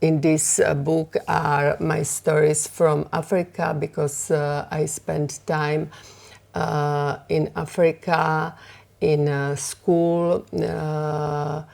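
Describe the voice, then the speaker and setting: Slow, gravelly Slovak woman, halting English. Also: low voice, flat delivery, a little mellow. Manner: halting, many fillers and pauses, simple English. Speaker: fashion designer and author. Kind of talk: community TV interview.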